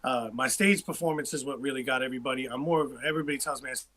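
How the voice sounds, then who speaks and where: A man's voice: mid-range voice, rapid-fire, a bit bright. A man with a Boston-area New England accent, a musician guest talking loosely in a casual livestream interview. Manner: loose, rambling, self-interrupting.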